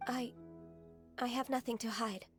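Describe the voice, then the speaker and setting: A woman voicing a shy, gentle, dutiful young half-divine woman in a game, soft-spoken and hesitant. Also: fairly high pitch, fairly even intonation, measured pace, lightly acted. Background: game music.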